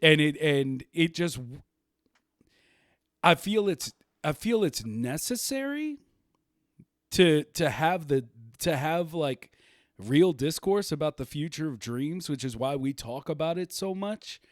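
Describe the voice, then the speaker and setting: A man's voice: fairly high pitch, lively intonation, slow pace, no room sound, clean studio sound. A man hosting a livestreamed podcast, talking casually and rambling, laughing easily. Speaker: podcast host.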